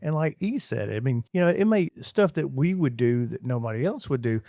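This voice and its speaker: A man who works as a radio DJ, telling stories with animated pride in a casual interview. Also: mid-range voice, fairly quick, no echo, clean studio sound. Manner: animated, bragging storyteller.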